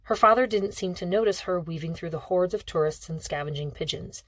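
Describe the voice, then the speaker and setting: A woman's voice: lowish voice, a little fast, dry recording. A woman reading a novel aloud as scripted audiobook narration. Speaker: audiobook reader.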